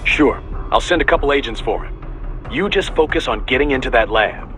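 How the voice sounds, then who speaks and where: An actor playing a brisk, level-headed mission handler in a video game, inquisitive and commanding. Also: male voice, medium pitch, lively intonation, a bit bright, faint music behind, lightly acted. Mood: brisk, focused. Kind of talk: video game cutscene acting.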